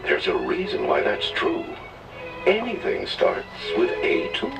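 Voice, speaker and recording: A man's voice: extremely low pitch, huge pitch swings, a little slow, vocal fry. A man narrating a children's read-along in scripted rhyme with a theatrical storybook delivery, played from an old record. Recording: old children's record played back, distant, music behind.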